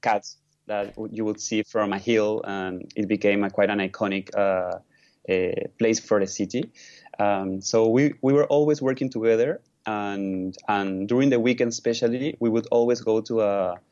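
A low-pitched man from Peru talks as a guest on an interview podcast. His answers are halting, with frequent restarts and fillers. His voice comes through a muffled, lo-fi remote line. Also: fairly even intonation, a little mellow. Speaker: environmental lawyer, guest.

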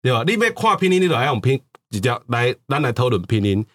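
A man with a Taiwanese accent talks at a rapid clip in a casual, explanatory way. He is emphatic and restates points to drive them home. His pitch sits in the middle for a man. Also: very animated, fairly bright tone. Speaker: podcast speaker on language.

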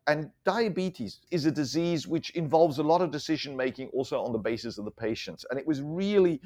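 A man's voice: on the high side, lively intonation, brisk, warm tone. A middle-aged man from Germany, a physician, talking reflectively and conversationally as an interview guest, explaining things carefully and often repeating words. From German, raised abroad. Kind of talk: conversational life-story interview.

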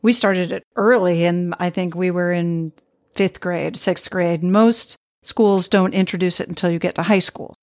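A woman's voice: lowish voice, steady tone, a little slow. A middle-aged woman hosting a podcast, chatting in a reflective, easygoing way and laughing easily. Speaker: podcast host. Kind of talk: casual interview chat.

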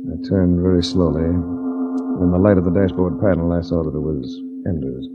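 An actor playing a wry hard-boiled investigator in a 1940s radio drama, speaking in a dry, measured, wisecracking way. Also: male voice, low-pitched, steady tone, loud music behind the voice, close to an everyday voice. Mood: grim, measured narration. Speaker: lead actor and narrator. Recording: dull 1940s radio recording.

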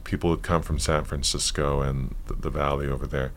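A man in his fifties with an exceptionally deep, low voice, talking through his past at an unhurried pace. He is from California and speaks as a podcast guest. A faint hum of ambience sits under the recording. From California, USA. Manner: relaxed, reflective storytelling. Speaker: podcast guest, yoga teacher. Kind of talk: casual interview conversation.

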